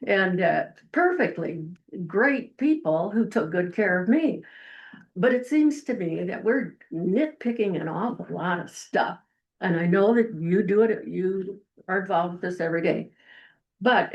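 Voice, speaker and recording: An older woman from the Midwest with a loud voice, giving a rambling, folksy public comment at a county meeting. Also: lowish voice, lo-fi sound. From Eldora, Iowa.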